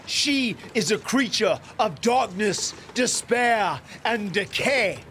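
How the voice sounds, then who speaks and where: Weird grandiose boatman mystic, super high voice, slow n pompous, some noise behind. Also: expressive, sharp tone, exaggerated voice. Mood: ominous. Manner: pompous, flowery, theatrical. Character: eccentric mystical ferryman with grandiose, theatrical speech.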